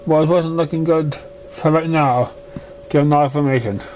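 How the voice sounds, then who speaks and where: Man, story narration, relaxed dark timbre, stumbling reading, light noise behind. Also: fairly high pitch, normal speed. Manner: stumbling, misreading words. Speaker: fan fiction reader. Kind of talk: story narration read aloud.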